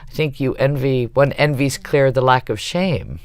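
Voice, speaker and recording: A man's voice: medium pitch, moderate pace, clean tone. A man on a discussion panel, speaking reflectively and a little tentatively, checking for agreement as he goes.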